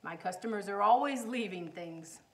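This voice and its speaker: A woman voicing a brisk, courteous laundromat manager in a storybook, businesslike and calling out. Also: medium pitch, close to an everyday voice.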